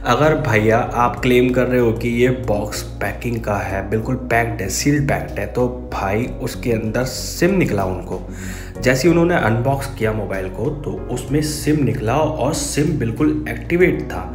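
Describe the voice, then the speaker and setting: A man's voice: medium pitch, expressive, normal speed. Young guy vlogging into his phone, roomy echo, music going behind. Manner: earnest warning tone, direct address to viewers. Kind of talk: casual vlog narration. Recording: echoey with loud music behind.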